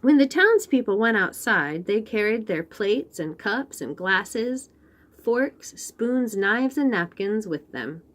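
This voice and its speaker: A woman hosts a read-aloud for little kids with a very lively, swooping delivery at a medium pitch. She reads expressively and breaks off to point out details in the pictures and ask the listeners questions. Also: slow. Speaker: children's read-aloud host. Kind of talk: animated picture-book reading with asides to young children.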